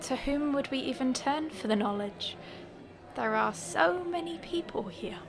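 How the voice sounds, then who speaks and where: Audio drama role: actress as young princess, fairly high voice, formal, self-possessed. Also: female voice, animated, measured pace, vocal fry, exaggerated voice. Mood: composed. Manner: formal, composed. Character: poised, formal noble daughter of a king.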